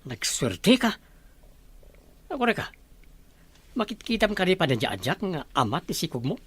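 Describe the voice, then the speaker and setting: A woman's voice: on the low side, sing-song, moderate pace, breathy edge, full character voice. A woman playing a nosy, blunt, gossiping friend in an audio drama. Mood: nosy, admiring.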